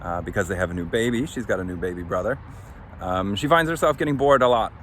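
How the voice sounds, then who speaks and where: A man's voice: fairly low pitch, expressive, fast talker, a bit bright. A man hosting a podcast as its author, giving a casual, unscripted recap and searching for his words. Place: outdoors under a tree. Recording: faint outdoor traffic-like noise. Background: faint outdoor urban ambience.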